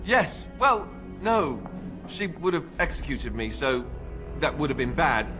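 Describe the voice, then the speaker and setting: An actor playing a reluctant young warrior newly made king in a video game, halting, earnest and self-deprecating. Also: a little high, sing-song, over music. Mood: dismayed. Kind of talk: video game cutscene acting.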